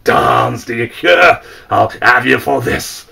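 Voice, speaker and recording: A man's voice: fairly low pitch, animated, slow, vocal fry, recorded from a distance, pitched below the performer's own voice, full character voice. A man playing a brutal, furious old sea captain who bellows threats. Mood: raging.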